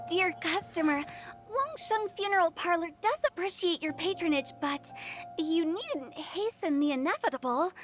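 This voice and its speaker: A woman's voice: very high voice, lively intonation, normal speed. A woman voicing a playful, teasing young funeral director in a video game, with a mock-formal manner. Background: game music.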